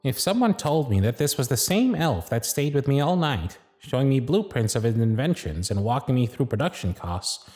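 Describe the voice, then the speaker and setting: A man playing a gruff but fond elf workshop supervisor in an ASMR roleplay, with a bossy, teasing, sardonic way of talking. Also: a little high, dry recording, heavily acted. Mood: musing, fond.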